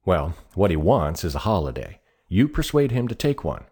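A man voicing a stout, prosperous, hearty visitor in an audiobook, talking loudly, briskly and bossily. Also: sits low, big pitch swings, breathy edge, heavily acted. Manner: loud, brisk, emphatic.

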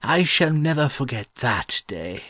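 A man voicing a gentle, wistful old scholar in an audiobook, musing fondly and haltingly. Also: mid-range voice, big pitch swings, no room sound, studio quality, pitched above the performer's own voice, heavily acted. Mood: confiding, wondering. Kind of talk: audiobook character voice.

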